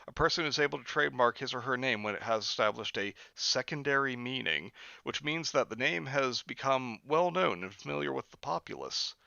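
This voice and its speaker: Male host reading a book on stream, sardonic asides, bright tone, slightly dull lo-fi mic. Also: mid-range voice, lively intonation, brisk, creaky, hardly any pauses, dry recording. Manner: sarcastic asides between read passages.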